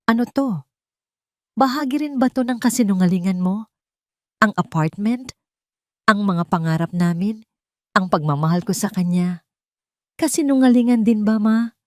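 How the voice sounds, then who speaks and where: A woman's voice: mid-range voice, normal speed, pauses often, a little mellow, no echo, studio quality, voice pushed up, close to an everyday voice. A woman voicing an anguished, furious young man confronting his mother in an audio drama, accusing and dramatic. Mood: angry, hurt.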